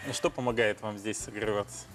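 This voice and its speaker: A man with a low voice hosts a live morning studio interview, asking his questions quickly and formally. A music bed plays clearly beneath him. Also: fairly even intonation. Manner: brisk, formal, fast-paced questioning. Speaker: morning TV host.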